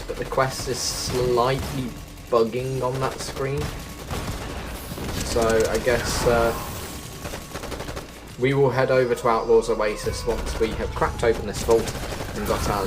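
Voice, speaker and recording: A man giving chatty game quest walkthrough commentary as a host, often correcting himself as he goes. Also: medium pitch. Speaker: gaming video host.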